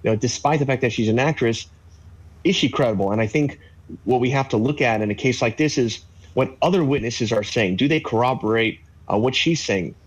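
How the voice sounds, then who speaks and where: A man in his thirties, a legal analyst, explaining a court case in a measured way with fillers over a video call from a home office. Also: mid-range voice, breathy edge. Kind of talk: live news interview commentary.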